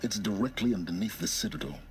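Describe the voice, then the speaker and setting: A man playing a knowing, cryptic informant in a video game, speaking in a measured, erudite, slightly theatrical way. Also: on the low side, soft background music, natural voice. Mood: grave, cautioning. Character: cryptic, knowing male informant guiding a warrior.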